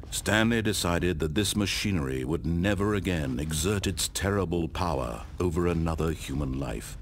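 Pompous omniscient game narrator, low voice, sweeping theatrical intonation, condescending, storybook delivery. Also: male voice, slight background noise. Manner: grandiose, dramatic, condescending. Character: omniscient storyteller narrator, pompous and controlling middle-aged man.

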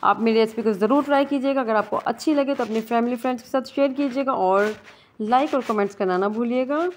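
A woman's voice: on the high side, quick pace, a little hiss or hum. A woman giving a casual step-by-step recipe voice-over as a cooking channel host, mixing English words into her talk. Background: guitar music.

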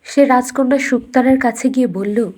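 A woman narrating a scripted cartoon fairy tale as a storyteller, in a measured, deliberate storybook delivery. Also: on the high side, darkish tone.

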